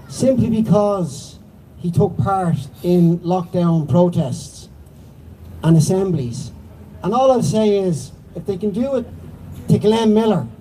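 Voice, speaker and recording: A man's voice: high-pitched, dark tone, a little hiss or hum, rough recording. A man acting as compere at a rally, introducing speakers in a loose, chatty way. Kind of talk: casual announcing.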